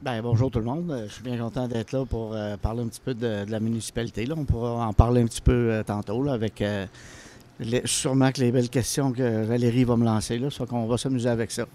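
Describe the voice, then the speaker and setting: A man's voice: medium pitch, fairly even intonation, quick pace, dark tone, slight background noise. A man, a town mayor, greeting listeners in a hesitant, filler-heavy way. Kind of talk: casual podcast greeting.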